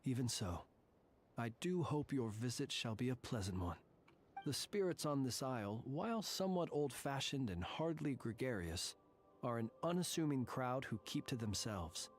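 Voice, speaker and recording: An actor playing a solemn, formal and courteous guardian of haunted tombs in a video game. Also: male voice, medium pitch, big pitch swings, a little hiss or hum, lightly acted. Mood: apologetic, gracious. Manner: formal, measured, ornate courteous speech. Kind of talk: video game character dialogue.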